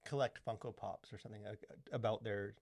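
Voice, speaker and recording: A man's voice: on the low side, fairly even intonation, fairly quick, vocal fry, a little mellow, no room sound, clean studio sound. A man co-hosting a podcast in casual discussion, rambling along with lots of "like" and "you know". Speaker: podcast co-host. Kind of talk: casual discussion of culture and faith.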